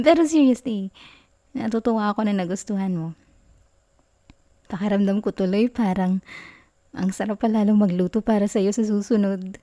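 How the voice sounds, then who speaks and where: Mellow, sweet Filipino girlfriend played by a woman. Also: medium pitch, normal speed, pauses often, lightly acted. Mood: happy, caring. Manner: soft, giggly, coy, tender. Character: sweet, doting, bashful young girlfriend, affectionate and caring. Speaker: ASMR roleplay performer. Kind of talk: affectionate girlfriend roleplay ASMR.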